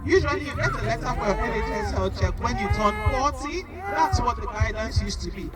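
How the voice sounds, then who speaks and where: A woman's voice: a little high, a little fast, loud music behind the voice, over a noisy crowd. A Nigerian woman, a native Igbo speaker, giving an informal health talk as a doctor in an emphatic, conversational way. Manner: emphatic, conversational, repeats words for stress. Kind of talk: informal public health talk to a community group.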